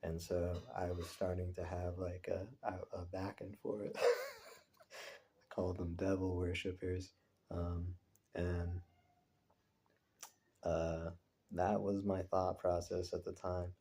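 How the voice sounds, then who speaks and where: A man recording a casual personal vlog, talking in a rambling, introspective, halting way. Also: low-pitched, big pitch swings, dark tone, breathy edge. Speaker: vlogger.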